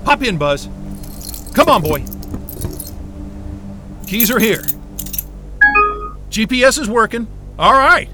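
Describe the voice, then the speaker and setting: A man's voice: very high-pitched, very animated, slow pace, long pauses, music in the background, full character voice. A man playing a frantic, foul-mouthed man on the run in an audio drama, urgent and prone to exclaiming. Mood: hurried, determined.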